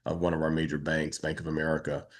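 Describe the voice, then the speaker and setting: A man hosting a business networking video call, talking casually with halting pauses between phrases. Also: rumbling low, monotone. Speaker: meetup host and moderator. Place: online video meeting.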